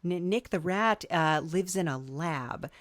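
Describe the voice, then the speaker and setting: A woman with a fairly low voice hosts a casual interview podcast. She is playfully indulgent and a bit hesitant. The sound is close and dry. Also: takes it slowly, dry recording. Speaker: podcast host.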